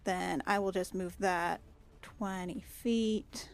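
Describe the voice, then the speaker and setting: A woman's voice: mid-range voice, steady tone, slow pace, vocal fry, soft background music. A woman playing a tabletop game, casually describing her character's actions and thinking aloud as she goes.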